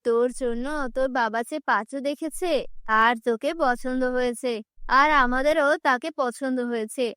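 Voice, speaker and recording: A woman voicing a poor, worried middle-aged village mother in a cartoon, with a gentle, coaxing way of talking. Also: high-pitched, fairly even intonation, moderate pace, smooth, no room sound, studio quality, voice pushed down. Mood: gentle, apologetic.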